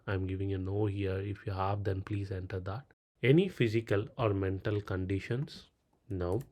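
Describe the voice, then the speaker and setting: A man's voice: on the low side, darkish tone. A man with a Tamil Indian accent, a native Tamil speaker, narrating a step-by-step screen tutorial in a clipped way, reading out questions and answering them. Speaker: tutorial presenter. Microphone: voice-over on a screen recording.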